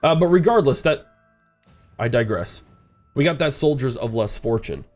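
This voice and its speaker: A man's voice: mid-range voice, animated, normal speed, darkish tone, faint music behind. Stuffed-up man narrating his game. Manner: rambling, thinking aloud, frequent uh fillers. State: congested, stuffed-up nose. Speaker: strategy game streamer. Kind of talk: casual let's-play commentary.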